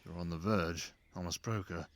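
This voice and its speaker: A man voicing a watchful, grudgingly concerned fox familiar in an ASMR fantasy roleplay, with a low-key, grumbling manner. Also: fairly even intonation, brisk. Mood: grudging, subdued.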